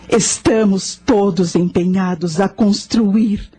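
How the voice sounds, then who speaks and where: Lady playing solemn priestess spirit in a radio play, slow and mellow, bit husky. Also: medium pitch, faint music behind. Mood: solemn, tender. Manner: solemn, measured delivery. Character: ancient high priestess, now a solemn, loving spirit. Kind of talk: radio drama monologue and narration.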